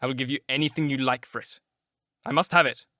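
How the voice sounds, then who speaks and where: A man reading aloud, voicing a witty, languid aristocratic gentleman with an airy, amused manner. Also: mid-range voice, fairly even intonation, quick pace, dry recording, studio quality, lightly acted.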